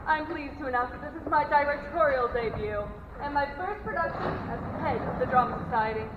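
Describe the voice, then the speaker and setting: A woman's voice: sits high, a little fast, close to an everyday voice. Young woman playing a self-important director, echoey hall. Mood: proud, formal. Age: teen or young adult. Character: proud, flustered drama society director introducing the play. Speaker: student actor. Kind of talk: theatrical stage speech. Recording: echoey noisy hall, distant muffled mic.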